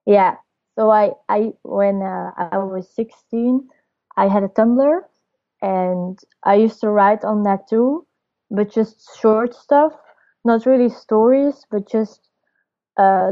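Slow-talking young woman. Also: mid-range voice. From Belgium. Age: 20s. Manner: hesitant, searching for words, laughs softly. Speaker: storyteller guest. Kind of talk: casual interview answers. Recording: dull lo-fi audio.

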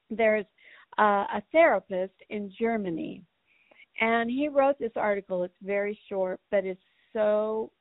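A woman co-hosting a podcast, earnest and hoarse from a cold, clearing her throat now and then. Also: medium pitch, dry recording. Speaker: podcast co-host. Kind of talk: casual podcast talk. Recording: dry, tinny phone-like sound.

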